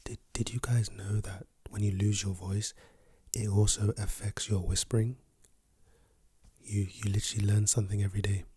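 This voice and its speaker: A man with a lost, hoarse voice, speaking softly and whispering in a playful, teasing way as an ASMR creator. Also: lowish voice, flat delivery, normal speed, no echo. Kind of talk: soft-spoken and whispered ASMR.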